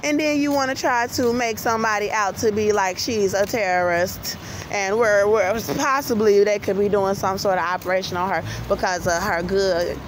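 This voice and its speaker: An American woman speaks at a middle pitch in an insistent, emphatic monologue, circling back over her phrases. She is walking outdoors with a handheld phone, and clear street and traffic noise sits behind her voice. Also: moderate pace. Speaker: vlogger. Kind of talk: livestream-style monologue to camera while walking. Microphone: handheld phone at arm's length. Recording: noisy phone recording on city street.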